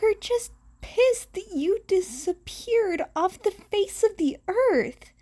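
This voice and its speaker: Woman playing a blunt, high-voiced teenage girl. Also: animated, slow, dark tone, heavily acted. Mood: blunt, exasperated. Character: blunt, exasperated but caring teenage girl.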